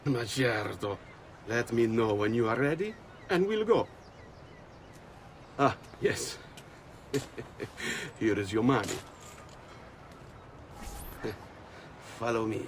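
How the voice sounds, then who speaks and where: An actor playing a sly, self-assured master thief with an Italian accent, teasing and theatrical in a game cutscene. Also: male voice, medium pitch, expressive, slow, pauses often. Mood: brisk, teasing. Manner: teasing, theatrical, chuckling knowingly. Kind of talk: video game cutscene acting. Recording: faint background noise and ambience.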